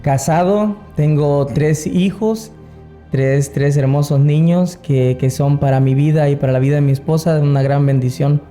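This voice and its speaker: Very mellow, higher-pitched Salvadoran man. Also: steady tone, moderate pace, soft background music. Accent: Salvadoran Spanish. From San Salvador, El Salvador. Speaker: interview guest, worship singer. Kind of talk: personal testimony, casual storytelling.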